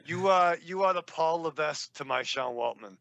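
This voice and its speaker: Gravelly New England man joking around. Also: a little high, moderate pace, fairly bright tone, no echo. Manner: rambling, joking, swears a lot. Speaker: podcast co-host. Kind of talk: casual, profane banter.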